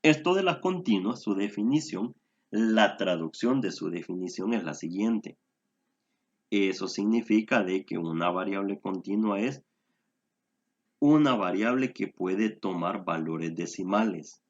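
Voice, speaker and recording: A man's voice: low-pitched, animated, measured pace, lo-fi sound. A man tutoring a statistics class online, explaining patiently and checking in with "¿verdad?". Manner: explanatory, often tags "¿verdad?".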